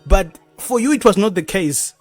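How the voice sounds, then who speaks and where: A man with a Venda accent hosting a radio show, asking halting questions and mixing English with Venda. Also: sits high, lively intonation, no echo, soft background music. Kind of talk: radio interview. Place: radio studio.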